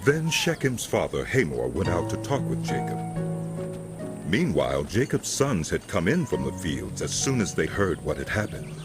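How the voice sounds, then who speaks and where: A very low male voice narrates scripture with solemn, measured storytelling. His intonation moves widely, and music plays clearly underneath. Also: big pitch swings.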